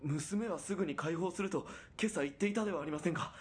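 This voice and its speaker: A man's voice: on the high side, fast, breathy edge. A man playing a principled, dutiful military police sergeant in a period radio drama, speaking formally, with earnest restraint. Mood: righteous indignation.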